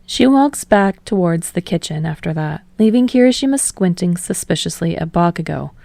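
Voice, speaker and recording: Low, mellow woman narrating a story. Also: animated, moderate pace, creaky, no room sound. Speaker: podfic narrator. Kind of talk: scripted narration, audiobook storytelling.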